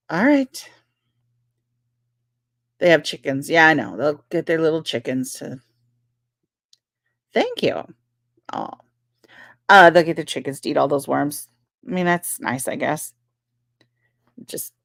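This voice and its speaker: A woman's voice: deep voice, gravelly, no room sound, clean studio sound. A woman co-hosting a livestream, talking in a sarcastic, profane, squeamish way. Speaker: livestream co-host. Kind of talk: casual livestream banter.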